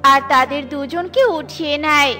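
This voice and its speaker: A woman's voice: high voice, normal speed, pushed and bright, soft background music. A woman narrating a scripted children's story.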